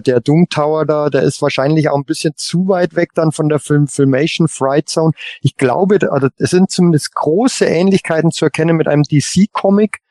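A man chatting casually as a podcast panelist, giving his opinions in a halting way with repeated words. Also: fairly high pitch, darkish tone, no room sound, studio quality. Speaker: podcast panelist on a toy collector show.

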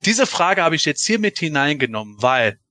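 Bright, forceful male podcast host. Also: fairly high pitch, very animated, normal speed, no room sound. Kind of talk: casual live podcast moderation.

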